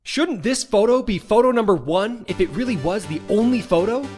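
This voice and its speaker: A man's voice: sits high, expressive, normal speed, loud music behind the voice. A man voicing an earnest, dogged young defense lawyer in a courtroom game, with insistent, emphatic questioning. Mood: pressing, accusing. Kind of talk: video game fan voice acting.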